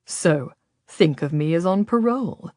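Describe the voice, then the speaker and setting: A woman's voice: lowish voice, animated, unhurried, dark tone, dry recording, studio quality. A woman voicing a confident, teasing Ottoman galley commander, a man, in an audiobook story. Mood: inviting. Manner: abrupt, teasing, tempting.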